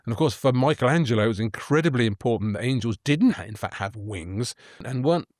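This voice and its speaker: A man's voice: mid-range voice, lively intonation, fast, darkish tone, no echo, clean studio sound. A man co-hosting a casual art history chat, telling stories with playful enthusiasm and asking his co-host questions. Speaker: podcast co-host.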